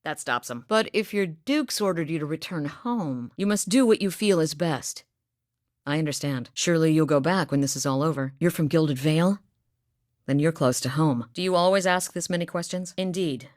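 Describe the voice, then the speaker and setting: An actress voicing a steady, blunt woman hunter from a far northern village, with a matter-of-fact manner and dry humor, in a studio game recording. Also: low-pitched, moderate pace, no room sound, natural voice. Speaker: game character voice lines. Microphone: close studio mic.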